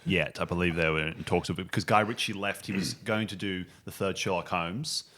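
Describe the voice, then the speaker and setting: A man's voice: low voice, fairly even intonation, a little fast, a bit bright. A man co-hosting a podcast, rambling and hesitant in casual chat. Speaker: podcast co-host.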